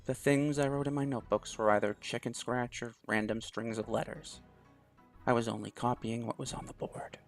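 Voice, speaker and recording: A man's voice: medium pitch, fairly even intonation, moderate pace, gravelly, soft background music. A young man hosting a let's play, chatting casually and reading a visual novel's story text aloud. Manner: chatty, laughs easily, thinks aloud.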